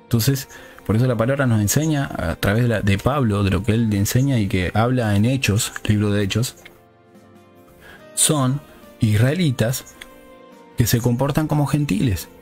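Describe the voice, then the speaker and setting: A man's voice: mid-range voice, moderate pace, a little mellow, soft background music. A man preaches a teaching sermon in a didactic way, often checking in with listeners through short rhetorical questions.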